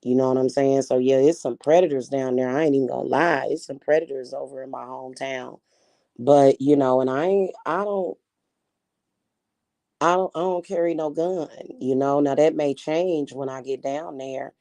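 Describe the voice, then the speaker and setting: Mellow-voiced Kansas City woman chatting. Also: rumbling low, steady tone, normal speed, no room sound. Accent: Kansas City Midwestern. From Kansas City, Missouri. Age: 40s. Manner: rambling, slangy, frank, answers viewers directly. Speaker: livestream host reading chat. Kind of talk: casual chat.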